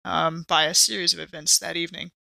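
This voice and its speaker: Creaky, bright-voiced woman role-playing. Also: fairly low pitch, steady tone, fast talker, dry recording. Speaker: tabletop role-playing game player. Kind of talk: in-character improvised role-play, conversational.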